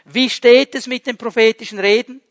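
A man's voice: very high voice, steady tone, normal speed, dry recording, studio quality. A man teaching a Bible passage, repeating key points and speaking straight to the listener. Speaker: preacher.

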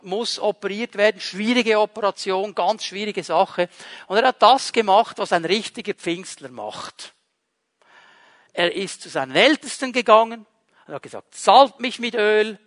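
A man's voice: high voice, a bit bright, dry recording. A man teaching a Bible passage, repeating key points and speaking straight to the listener. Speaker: preacher.

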